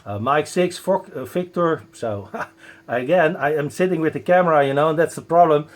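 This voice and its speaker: A man with a Dutch accent working as an amateur radio operator, enthusiastic but halting, spelling out callsigns at a close microphone. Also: on the high side. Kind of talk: ham radio contact, spelling callsigns phonetically. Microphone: close broadcast dynamic microphone.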